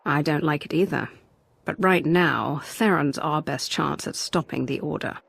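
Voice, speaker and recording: An actress playing a tough, defiant commander in a video game, cool and firm with a gravelly edge. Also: female voice, deep voice, measured pace, dry recording, exaggerated voice. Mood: firm defiance. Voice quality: gravelly creak.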